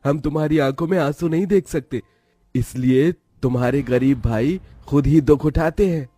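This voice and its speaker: A poor but protective young brother , acted by a man, speaks with earnest feeling in a cartoon tale. His voice is very high for a man and mellow, recorded close and dry. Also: moderate pace, dry recording, close to an everyday voice. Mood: tender, resolute. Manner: earnest, emotional.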